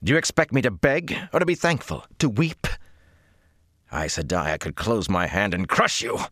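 A man's voice: low-pitched, big pitch swings, slow, gravelly, fairly bright tone, no echo, studio quality, exaggerated voice. A man voicing a young male channeler who speaks in a cold, clipped, commanding way. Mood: furious. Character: young male channeler, volatile, barely contained fury.

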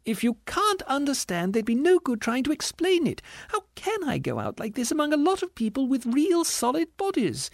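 A man's voice: wildly swooping pitch, moderate pace, gravelly, hardly any pauses, no echo, clean studio sound, exaggerated voice. A man voicing a panicky, vain woman ghost with a squealing, whining, indignant voice in an audiobook. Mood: anguished. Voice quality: squealing, shrill. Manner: whining, indignant, self-conscious. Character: panicky, vain female ghost in shabby finery. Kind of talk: audiobook character voice.

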